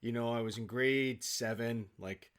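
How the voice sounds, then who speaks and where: A man, probably middle-aged, telling anecdotes casually in an animated, self-deprecating, halting way as an interview guest. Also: mid-range voice. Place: home office, on a remote call.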